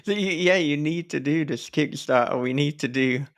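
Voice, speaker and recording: A man's voice: on the high side, moderate pace. A man hosting a podcast in casual conversation, talking in a chatty, rambling way with a chuckle now and then. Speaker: podcast host and horror author.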